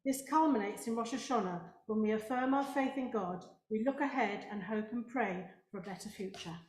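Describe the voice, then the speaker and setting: Woman doing synagogue announcements, bit hesitant, highish voice, echoey room. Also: fairly quick, a little mellow, a little hiss or hum. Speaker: synagogue service leader. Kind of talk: service announcements to a congregation.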